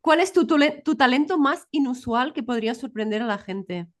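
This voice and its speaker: A woman hosts a casual interview. Her voice sits a little high, and she puts her questions in an informal, conversational way. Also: lively intonation, moderate pace. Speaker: podcast host, interviewer.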